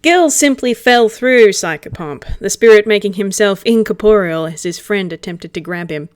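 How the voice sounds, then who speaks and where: A woman's voice: mid-range voice, moderate pace, clear, resonant tone, dry recording, studio quality. A woman narrating a roleplay story read aloud in the third person. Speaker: roleplay reader.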